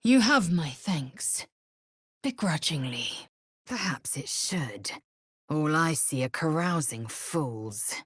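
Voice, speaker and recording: Video game role: proud, scornful female alien warrior. Low, raspy voice, curt commands, measured delivery. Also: animated, dry recording, clean studio sound, full character voice. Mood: grave, resolute. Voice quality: husky, raspy. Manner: imperious, clipped, deliberate. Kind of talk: video game character voice lines.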